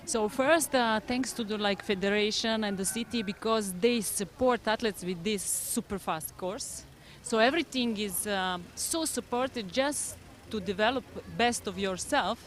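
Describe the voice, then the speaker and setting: A woman with a Serbian accent speaking in earnest, slightly halting English as a coach being interviewed at a race. Her pitch sits in a normal middle range. She is recorded outdoors at the course. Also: a little hiss or hum. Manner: earnest, non-native phrasing with fillers. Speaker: head coach of a development team. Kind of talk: live interview.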